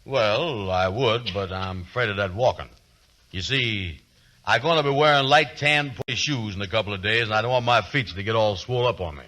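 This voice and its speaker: A man acting in a 1940s radio comedy as a boastful, lovestruck, slow-witted porter, drawling in an exaggerated folksy comic dialect. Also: fairly low pitch, sharp tone. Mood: easygoing.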